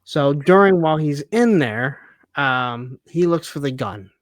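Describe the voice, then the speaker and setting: A man's voice: on the high side, animated, a little slow, no room sound, studio quality. A man co-hosting a TV recap in casual chat, picking at the story with rhetorical questions. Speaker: co-host of a TV recap show.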